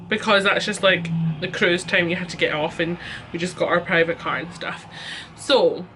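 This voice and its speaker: Young scottish woman ranting through a storytime, chatty, lots of likes and ums, bright sharp voice. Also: mid-range voice. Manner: chatty, exasperated storytelling with many fillers. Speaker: vlogger. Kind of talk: storytime rant.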